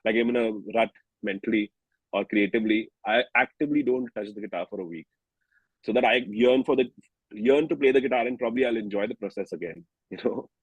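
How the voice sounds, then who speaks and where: A man with an Indian accent speaking reflectively as an interviewed singer-songwriter, haltingly and often rephrasing himself. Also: mid-range voice, slightly rough, no room sound, rough recording. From New Delhi, India.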